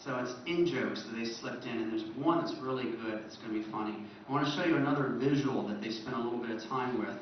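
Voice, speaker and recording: A man's voice: medium pitch, quick pace, few pauses. Male convention presenter, informal lecture delivery, very reverberant room, muffled pickup. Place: large echoey presentation room. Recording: echoey room, distant muffled mic, faint noise.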